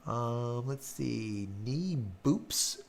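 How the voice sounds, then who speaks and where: A man with a raspy voice hosting a live stream, casually chatting and reading out viewer comments, rambling with lots of fillers. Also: medium pitch, animated, very slow, slight background noise. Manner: rambling, filler-heavy, hums while scrolling.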